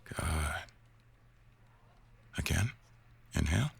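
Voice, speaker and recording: A man playing an attentive, dry-witted male news boss in an intimate boyfriend ASMR roleplay, speaking in a measured, gently teasing way. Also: low-pitched, very animated, creaky, husky, full character voice. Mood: soothing, calm. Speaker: audio roleplay performer.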